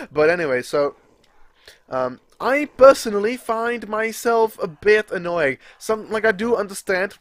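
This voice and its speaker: A man's voice: high-pitched, lively intonation, measured pace, fairly bright tone, no room sound. Chatty young man with a Czech accent. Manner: chatty, swears freely, laughs at himself. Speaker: gaming video creator. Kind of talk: casual rambling live commentary.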